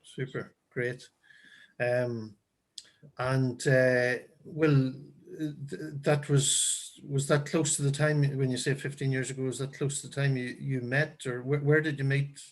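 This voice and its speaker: An Irish man hosts a relaxed chat, his talk full of stammers, ums and false starts. He sounds busy with cooking as he talks, and his voice is of middling pitch. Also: a little slow. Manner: hesitant, stammering, lots of fillers. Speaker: host. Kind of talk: casual chat.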